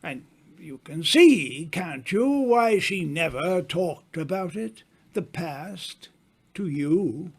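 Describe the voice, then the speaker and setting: A man playing a worldly, self-assured older writer in an old-time radio mystery, speaking grandly with teasing, dramatic pauses. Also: on the high side, animated, slightly rough, full character voice. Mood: knowing, persuasive.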